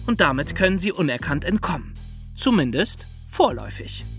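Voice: medium pitch, very animated, moderate pace, loud music behind the voice. A podcast co-host delivering scripted, dramatic storytelling narration.

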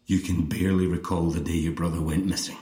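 An actor playing a headstrong young twin in an audio drama, bewildered and distrustful, questioning haltingly and intensely. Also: male voice, deep voice, flat delivery, dark tone, reverberant, lightly acted. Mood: confused, suspicious. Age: young adult.

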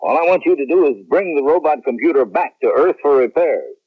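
An actor playing an authoritative general who runs a space agency, giving measured official briefings in a 1970s radio drama. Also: male voice, fairly high pitch, expressive, slightly rough, no echo. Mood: firm. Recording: tinny, dry old radio broadcast.

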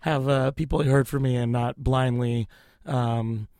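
A man guesting on a podcast, his voice hoarse and mid-pitched. He talks in an easygoing, slightly hesitant way. The sound is dry and close. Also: steady tone, measured pace, darkish tone, no echo. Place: studio.

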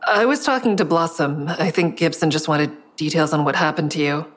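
A woman's voice: sits low, a little fast. An actress playing a sleepless, guarded woman in an audio drama, confiding and understated as she narrates and talks. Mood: guarded concern. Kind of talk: audio drama first-person narration and dialogue.

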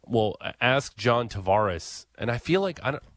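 A man's voice: mid-range voice, lively intonation, normal speed, no room sound. A man hosting a sports radio show, talking casually and with opinions, full of fillers and restarts, on a studio mic.

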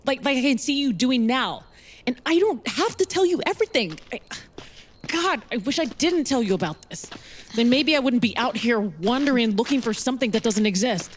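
A woman's voice: fairly high pitch, animated, moderate pace, slight background noise, close to an everyday voice. An American actress playing a weary, strained mother haunted by evil in an audio drama. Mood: frustrated, angry. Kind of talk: audio fiction acting, dialogue and first-person narration.

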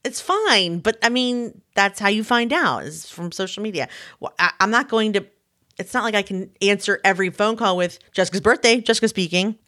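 A woman in her forties with a mid-range voice co-hosts a casual conversation. She speaks in an animated, chatty way and pokes fun at herself. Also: mid-range voice, a little fast. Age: 40s. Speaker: podcast co-host. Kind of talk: casual chat.

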